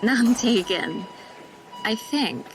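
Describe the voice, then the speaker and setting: A woman's voice: on the high side, lively intonation, very slow, noisy background. A woman playing a hesitant, polite young nurse on her first day. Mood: unsure. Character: nervous young female new nurse. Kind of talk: audio drama acting.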